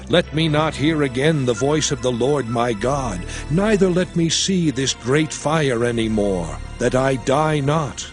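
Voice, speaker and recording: An actor playing an aged male prophet and lawgiver, exhorting his people in a solemn, measured way in a dramatized scripture reading. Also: mid-range voice, natural voice. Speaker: actor in a dramatized audio Bible.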